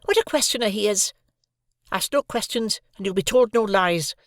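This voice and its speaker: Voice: mid-range voice, moderate pace, a bit bright, dry recording, clean studio sound, pitched above the performer's own voice, lightly acted. A reader putting on the voice of a harsh, snappish older sister who scolds a boy in an audiobook. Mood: scolding. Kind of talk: audiobook character voice.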